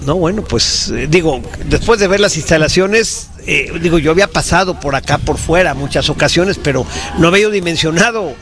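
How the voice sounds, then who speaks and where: Man, Mexican accent, high-pitched, sports show host, polite, conversational live interviewing. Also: a little fast.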